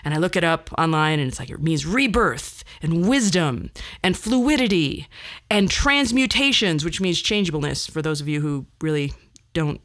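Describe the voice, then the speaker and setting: A woman's voice: fairly high pitch, fairly bright tone. A woman podcast host telling a personal story casually, chatty and rambling, with wry asides.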